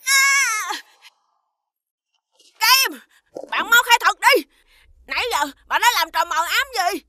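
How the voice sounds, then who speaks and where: A feisty, hot-tempered cartoon child. The voice is extremely high and piercingly bright, confrontational and shrill, recorded dry. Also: female voice, big pitch swings, slow, no room sound, exaggerated voice. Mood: angry, suspicious. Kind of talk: animated series dubbing.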